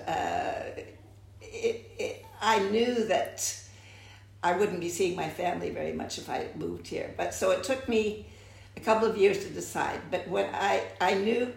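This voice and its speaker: A woman's voice: on the low side, creaky. An older woman from the United States, answering interview questions reflectively and often restarting her sentences. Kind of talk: oral history interview. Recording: echoey room.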